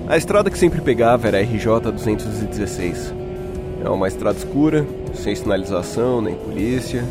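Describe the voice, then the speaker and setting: A man's voice: on the low side, expressive, fairly quick. A man acting as a shaken young Brazilian who tells his own horror story in a suspenseful, dramatic way. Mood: ominous, tense. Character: shaken young man recounting a night-time horror encounter. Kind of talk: dramatic first-person horror story narration with acted dialogue. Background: music.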